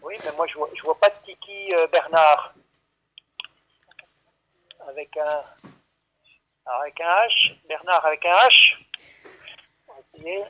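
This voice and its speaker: Male clinic employee, high-pitched for a man, muffled phone sound; halting, repetitive, patient explanations in unscripted conversation. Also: sharp tone. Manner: hesitant, stammering, repeating himself, patiently explaining. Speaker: veterinary clinic staff taking a call. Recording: dull, lo-fi phone line.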